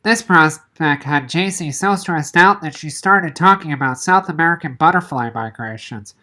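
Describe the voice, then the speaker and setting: A woman's voice: sits low, normal speed, sharp tone. A woman reading a children's novel aloud as narration. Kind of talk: audiobook-style read-aloud narration.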